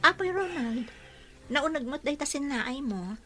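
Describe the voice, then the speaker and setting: Woman playing earnest young daughter, high bright voice. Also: expressive, a little slow, faint music behind. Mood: concerned, earnest. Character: earnest young daughter devoted to her boyfriend. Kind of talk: Ilocano radio drama acting.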